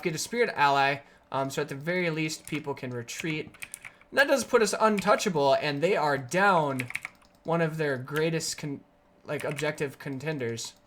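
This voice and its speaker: A streamer's voice, raspy and sore, talks through a match live in a middle pitch, explaining each play and now and then jumping into excited outbursts. Faint keyboard clicking sits quietly behind the voice at the desk mic. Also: slight background noise. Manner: upbeat, explains plays, with sudden excited outbursts. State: raspy, sore voice from energy drinks. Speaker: game streamer. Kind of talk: live gameplay commentary.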